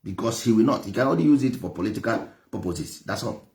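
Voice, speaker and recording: A man's voice: lowish voice, expressive, fast, darkish tone. A man with an Igbo Nigerian accent and Igbo as his first language, preaching and lecturing with passion, stressing points through repetition and rhetorical questions. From Nigeria, Igboland. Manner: emphatic, repeats phrases, rhetorical questions. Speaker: traditional religion teacher.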